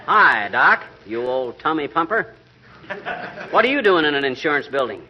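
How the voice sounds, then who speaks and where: A man's voice: fairly high pitch, sing-song, moderate pace, breathy edge, highs cut off. A man playing a wisecracking, blustery middle-aged husband in a folksy, teasing vintage radio comedy style. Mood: needling. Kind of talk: 1940s radio comedy acting. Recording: band-limited vintage radio broadcast, faint noise.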